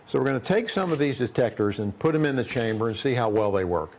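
A man in his sixties presenting a scripted product review in a workshop, brisk and plain-spoken with dry humor, on a lapel mic. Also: medium pitch, expressive, slight background noise, poor audio. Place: indoor workshop studio.

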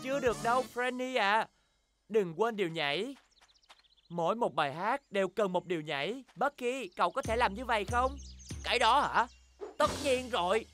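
A man's voice: high-pitched, lively intonation, normal speed, over music. A man voicing a cheerful, boastful young bear in a language children's cartoon dub, exclaiming with enthusiasm. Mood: enthusiastic.

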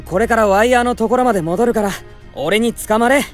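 A man playing a brave, upbeat teenage boy space cadet with rough, hot-blooded speech in a voice drama. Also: high-pitched, a little fast, faint music behind, lightly acted. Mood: encouraging. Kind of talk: Japanese voice drama acting.

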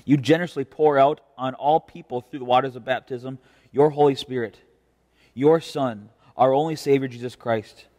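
A young American man preaching a devotion as a pastor, in a measured, earnest and deliberate way. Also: medium pitch, steady tone, warm tone. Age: young adult. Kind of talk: devotional preaching with scripture reading. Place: indoor church chancel. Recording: reverberant church, mic at a distance.

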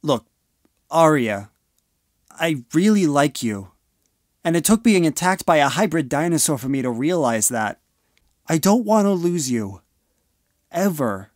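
Actor as earnest teenage boy, lovestruck, animated pitch, dry sound, amateur audio drama. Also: a little slow, pauses often, dry recording. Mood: nervous, sincere. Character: lovestruck, earnest sixteen-year-old boy.

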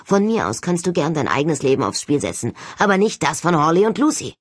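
Audiobook role: sceptical young male ghost hunter played by a narrator, indignant and sarcastic, swinging pitch, husky edge. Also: sing-song, fairly quick, no room sound, studio quality. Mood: angry, accusing.

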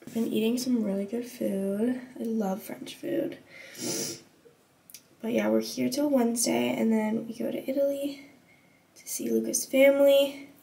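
A woman's voice: fairly high pitch, fairly even intonation, unhurried, vocal fry, dark tone. A young woman vlogging casually to camera, stuffed up with a cold and drowsy, rambling informally in a hotel room. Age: young adult. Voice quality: stuffy, congested nose. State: stuffed up with a cold, just woke up. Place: small tiled hotel bathroom and hotel room. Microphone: camera at arm's length or propped nearby. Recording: noisy camera audio with background ambience.

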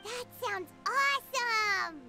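A woman voicing a tiny, eager, childlike fairy companion in a video game, with a squeaky manner. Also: lively intonation, takes it slowly, gravelly, full character voice. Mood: amazed, curious. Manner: squeaky, refers to herself in third person. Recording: loud game music under the voice.

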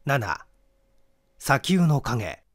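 A man's voice: mid-range voice, very animated, very slow. A man giving a dramatic scripted reading of a classic mystery novel as an audiobook narrator. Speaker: audiobook reader, small-theater actor.

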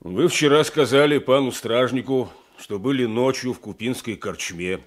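An actor playing a stern, defensive village elder in a radio play, speaking firmly. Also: male voice, mid-range voice, moderate pace, close to an everyday voice. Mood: formal. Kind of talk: Soviet radio play acting. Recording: 1980s radio drama recording.

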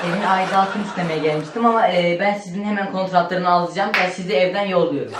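A man's voice: sits high, fast talker, noisy background. A man improvising comic banter in a sketch, clowning and wisecracking. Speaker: comedy sketch performer. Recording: echoey room with other voices behind.

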